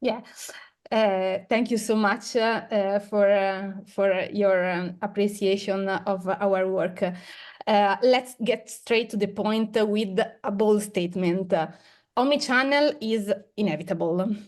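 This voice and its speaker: Slow, hesitant woman on a video call. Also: mid-range voice, steady tone, a little mellow. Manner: slow, hesitant, many fillers. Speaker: research director, guest. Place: office room with windows.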